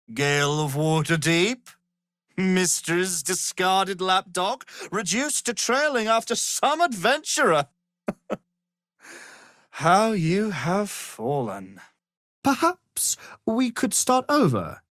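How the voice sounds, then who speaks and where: A man's voice: high voice, fairly bright tone, no echo, studio quality, full character voice. A man playing a haughty, scheming wizard in a fantasy video game, with a pompous, theatrical, condescending manner. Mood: mocking, then falsely courteous. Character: haughty, scheming adult male wizard, vain and menacing.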